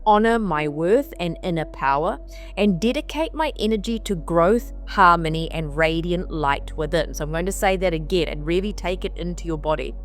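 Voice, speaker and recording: A woman's voice: on the low side, lively intonation, normal speed, soft background music. A woman hosting a weekly spiritual mantra talk in a casual, meandering way. She sounds slightly nasal and sniffly from allergies and repeats phrases for emphasis. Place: on the road while traveling.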